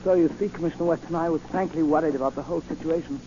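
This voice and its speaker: High-pitched deferential butler played by a man. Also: steady tone, rapid-fire, natural voice. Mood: earnest. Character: proper, deferential, anxious male butler. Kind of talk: 1940s radio acting. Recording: noisy, muffled 1940 radio recording.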